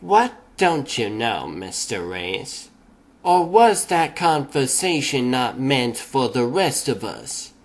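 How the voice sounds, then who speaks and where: A man voicing a stern, needling female history teacher in a comic dub, measured and sarcastic. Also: medium pitch, big pitch swings, heavily acted.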